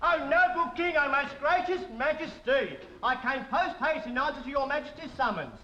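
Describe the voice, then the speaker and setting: Fussy, high-pitched, fawning royal tailor. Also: male voice, animated, moderate pace, pushed and bright, full character voice. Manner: gushing, obsequious, effusive. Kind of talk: theatrical period comedy acting. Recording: dull, echoey, noisy recording with crowd.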